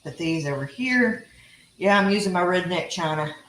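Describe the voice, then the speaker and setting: A woman's voice: lowish voice, expressive, normal speed, fairly bright tone, roomy sound, poor audio. Chatty Texan woman cooking at home. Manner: chatty, teasing, laughs often. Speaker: cooking show host. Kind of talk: casual live cooking chat. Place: home kitchen.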